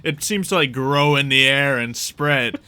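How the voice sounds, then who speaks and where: A man's voice: medium pitch, measured pace, pushed and bright, clean tone, no echo. A man running a tabletop roleplaying game as game master, narrating in a playful, descriptive way among friends.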